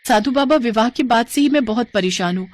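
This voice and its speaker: A woman voicing a gentle, troubled young daughter-in-law in a moral story, speaking earnestly and respectfully. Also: fairly high pitch, fairly quick, no room sound, lightly acted. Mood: distressed.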